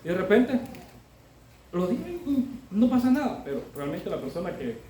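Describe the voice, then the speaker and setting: A middle-aged man preaches in a high, mellow voice. He talks with his congregation in a conversational way and keeps asking them to agree with him. He is recorded from far off in a very echoey church hall with faint room noise behind him. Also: sing-song, normal speed. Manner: conversational, often adds tag questions; builds to loud emphatic exhortation. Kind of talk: sermon.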